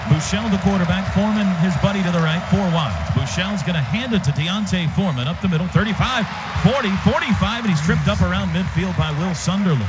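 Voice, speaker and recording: An American man calls football play by play in a raised, excitable voice with dramatic phrasing. The sound is a dull radio broadcast with loud stadium noise behind him. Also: sits high, fast, few pauses, a loud crowd. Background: loud outdoor stadium noise.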